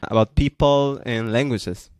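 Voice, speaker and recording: A man's voice: mid-range voice, steady tone, measured pace, darkish tone, clean tone, no room sound, clean studio sound. A man hosting a podcast who asks questions hesitantly, often restarting his sentences. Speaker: podcast host. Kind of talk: casual interview questions.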